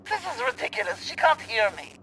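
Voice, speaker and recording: A woman's voice: sits high, huge pitch swings, fairly quick, creaky, sharp tone, a little hiss or hum, full character voice, rough recording. Haughty, swooping villainess queen. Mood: exasperated, indignant. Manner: imperious, exasperated, theatrical. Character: haughty, short-tempered villainess queen bent on world rule. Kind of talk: comedy radio play acting.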